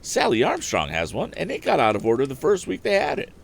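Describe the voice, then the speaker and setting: A man's voice: a little high, wildly swooping pitch, a little fast, gravelly, slight background noise, voice pushed up, heavily acted. A man voicing a grateful, chatty farm wife from a storybook, with exclaiming, old-fashioned country talk. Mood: chatty, agreeing. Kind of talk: storybook character voice.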